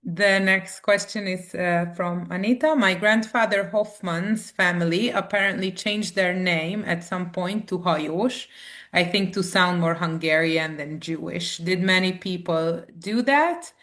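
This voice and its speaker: A woman's voice: fairly low pitch, unhurried, a bit bright. A woman moderating an online Q&A, thanking the speaker and reading out audience questions over a video call. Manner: reads out audience questions, polite thanks, light fillers. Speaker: event moderator.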